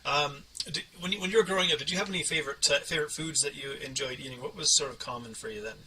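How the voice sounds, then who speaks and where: A man's voice: fairly high pitch, racing, bright, forceful tone, recorded from a distance, slight background noise, low-quality recording. A man hosting an interview podcast and talking conversationally with a guest. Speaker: podcast host and coach.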